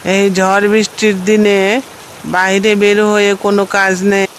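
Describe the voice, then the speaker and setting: Stern, worried village mother, acted by a man, cartoon story. Slow, even delivery, faint noise behind. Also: high-pitched. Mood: stern warning. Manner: stern, cautioning.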